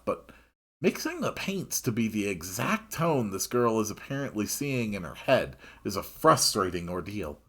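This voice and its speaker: A man's voice: on the low side, fairly bright tone, breathy edge. A man reading a story aloud expressively, dropping in wry, casual asides of his own. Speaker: host reading a visual novel aloud.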